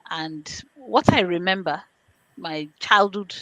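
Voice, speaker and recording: A Nigerian-accented woman with a fairly low voice, recorded close and dry. She speaks as an interview guest, explaining conversationally in plain, step-by-step terms. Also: takes it slowly, fairly bright tone, no room sound, rough recording. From born in London, grew up in Nigeria. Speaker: interview guest, information governance specialist.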